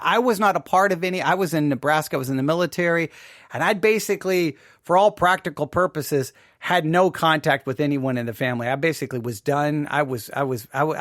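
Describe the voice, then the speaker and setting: Higher-voiced man, emphatic, close dry sound. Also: a bit bright, no echo. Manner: emphatic, repeats and rephrases himself. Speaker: podcast host. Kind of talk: informal personal commentary.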